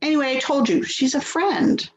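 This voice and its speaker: A woman's voice: high-pitched, moderate pace. A woman acting a harried, placating adult daughter in a readers theater play, weary, hesitant and pleading. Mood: exasperated. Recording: dull, echoey, clearly noisy audio.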